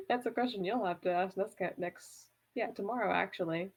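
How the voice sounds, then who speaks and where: Teasing woman tossing quick asides. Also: medium pitch, slightly rough, poor audio. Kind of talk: casual chat.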